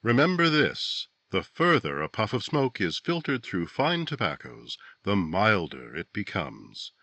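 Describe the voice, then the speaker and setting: A man plays a grave radio drama narrator with a very deep, bright voice. He speaks slowly and emphatically, with big swings of pitch, brooding over a true-crime tale in 1950s style. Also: wildly swooping pitch, no echo, heavily acted. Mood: emphatic, selling.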